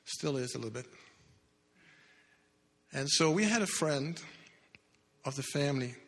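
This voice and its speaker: A man in his sixties, not a native English speaker, telling his life story earnestly as a testimony in church, with halting restarts. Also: medium pitch, expressive. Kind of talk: personal testimony talk. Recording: echoey hall with long reverb.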